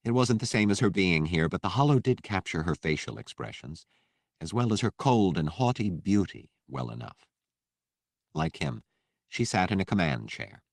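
Very deep-voiced male audiobook narrator. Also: very animated, normal speed, gravelly, dry recording, studio quality.

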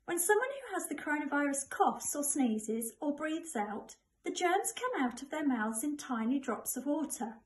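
A middle-aged woman with an English accent reads a children's information book aloud to primary school pupils. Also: high-pitched. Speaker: primary school staff member reading to pupils.

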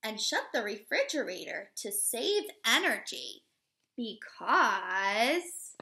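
Lady teacher doing a storytime read-aloud for little kids, really animated, takes her time, explains stuff. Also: a little high, slow, vocal fry. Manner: expressive, instructive, addressing young children. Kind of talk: children's picture book read-aloud. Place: living room at home, indoors.

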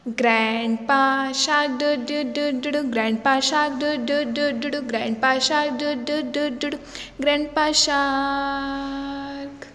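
A woman's voice: high-pitched, flat delivery, takes it slowly, fairly bright tone, in a large hall. A woman singing nursery rhymes for children in a repetitive sing-along delivery. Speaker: children's song singer. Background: faint backing music.

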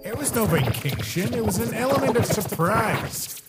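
A man's voice: high voice, big pitch swings, moderate pace, roomy sound. A man voicing a sinister, boastful skeleton monster in a comic dub, theatrical and smug. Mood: haughty. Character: sinister, boastful male skeleton monster who feeds on fear. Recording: loud music behind the voice.

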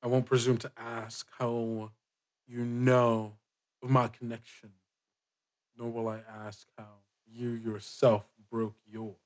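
A man plays a proud man of the church in a roleplay podcast. His voice sits at a medium pitch. He is guarded and haughty, choosing formal words with deliberate care. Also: flat delivery, close to an everyday voice. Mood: cool, formal. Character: proud, guarded church dignitary, cold and imperious. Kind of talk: in-character tabletop roleplay.

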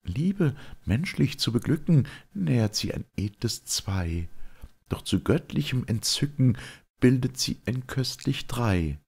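Man as a tender noble mother, lifted pitch. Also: sing-song, slow, gravelly, a little mellow, husky, heavily acted. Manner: lofty verse declamation. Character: noble, tender, pleading mother in a classical drama.

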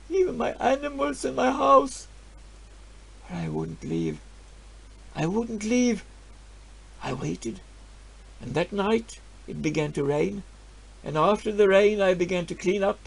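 An actor playing a grieving, stubborn peasant farmer, telling his story in a halting voice that swings from hushed to loud. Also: sits high, vocal fry, slightly rough, exaggerated voice, rough recording. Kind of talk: dramatic storytelling monologue.

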